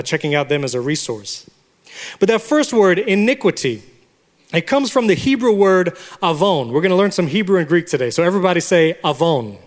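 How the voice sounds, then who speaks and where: Pastor of Puerto Rican origin, high-voiced man, preaching and teaching, long hall reverb. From Puerto Rico. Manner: teaching, has the congregation repeat words. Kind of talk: sermon. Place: church sanctuary.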